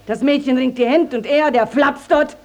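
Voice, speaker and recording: An indignant village mother in classic stage-style radio drama. Her voice is high, and she declaims her complaint with proud, accusing emphasis and plenty of dramatic build. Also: moderate pace. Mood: outraged, dramatic.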